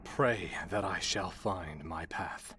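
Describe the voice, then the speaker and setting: A man's voice: low-pitched, slow, sharp tone, a little hiss or hum, full character voice. A man playing an anguished, pleading young man in a fantasy visual novel, with night crickets and owls behind him. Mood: solemn, forlorn. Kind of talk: dramatic visual novel voice acting.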